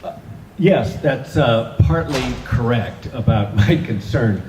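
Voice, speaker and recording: A man's voice: medium pitch, animated, slow pace, roomy sound, noisy background. A man, an author and guest from Northern California, talking onstage in an easy, joking way with many fillers. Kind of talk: onstage conversation. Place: event hall with an audience.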